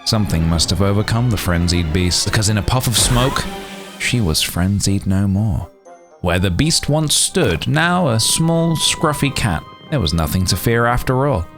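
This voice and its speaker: A man's voice: on the low side, animated, moderate pace, over music. A man reading a scripted storybook fairy tale in a dramatic, grandiose storytelling manner.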